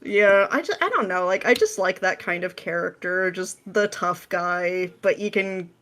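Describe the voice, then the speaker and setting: A woman's voice: fairly low pitch, animated, moderate pace, fairly bright tone. A woman talking casually as an interview guest, telling things through little anecdotes in a joking, easily amused way. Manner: anecdotal, jokey, often laughing as talking. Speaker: interview guest, script writer. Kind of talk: casual chat.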